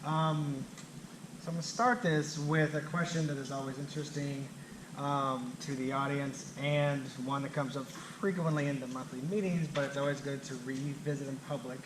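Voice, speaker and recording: Male panel moderator, relaxed and filler-heavy, recorded in a noisy echoey room. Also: medium pitch, moderate pace. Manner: relaxed, uses many fillers. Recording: echoey hall with background noise.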